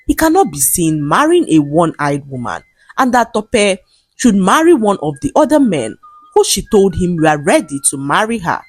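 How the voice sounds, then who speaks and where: A woman narrating a scripted folktale in an expressive, dramatic storytelling manner. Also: lowish voice, breathy edge. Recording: music behind the voice. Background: background music.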